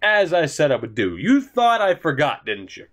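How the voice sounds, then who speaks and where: A man's voice: on the high side, wildly swooping pitch, normal speed, fairly bright tone. Rambling, swearing young male gamer. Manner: rambling, swears often, self-mocking. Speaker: gaming YouTuber. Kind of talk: casual gameplay commentary.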